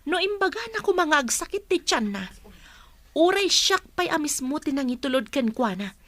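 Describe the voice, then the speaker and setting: A woman's voice: high-pitched, sing-song, moderate pace, a bit bright, no room sound. A woman acting as an exasperated, defensive mother in a radio drama. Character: exasperated mother defending herself to her husband.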